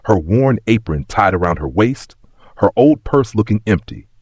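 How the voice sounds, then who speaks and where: A man narrates a sentimental tale in a very low, husky voice. His pitch moves in lively swings as he tells it with folksy drama. The sound is dry and close. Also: normal speed, no room sound. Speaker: storyteller, narrator. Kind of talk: scripted sentimental story narration.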